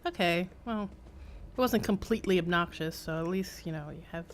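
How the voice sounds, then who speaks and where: A woman's voice: fairly low pitch, normal speed, a little hiss or hum. A woman streamer giving casual live game commentary in a wry, rambling, chatty way.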